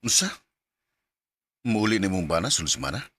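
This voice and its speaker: Radio drama role: secretive married Filipino charmer with Cebuano accent, deep, animated, coaxing delivery. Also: male voice, moderate pace, slightly rough, faint music behind, full character voice. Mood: seductive. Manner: suggestive, coaxing. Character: married man, Jason, smooth secretive seducer. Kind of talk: radio drama acting in Bisaya.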